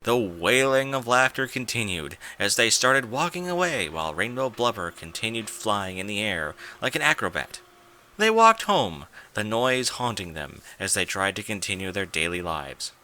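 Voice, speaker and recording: Very bright, animated male storyteller. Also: fairly low pitch, moderate pace. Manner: theatrical, emphatic. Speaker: narrator, reader of fan fiction. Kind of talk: dramatic scripted story narration.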